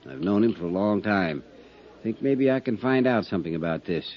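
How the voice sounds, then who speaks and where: An actor playing a gruff, folksy small-town police constable in a 1950s radio drama. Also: male voice, fairly low pitch, lively intonation, a little fast. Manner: folksy, stubborn, drawling. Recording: dull old radio recording.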